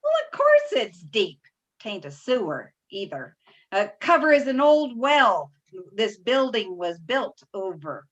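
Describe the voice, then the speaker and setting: A woman's voice: fairly high pitch, huge pitch swings, unhurried, fairly bright tone, exaggerated voice. An actress playing a folksy, scolding, impatient older mother-in-law in an old-time radio drama. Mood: impatient, puzzled.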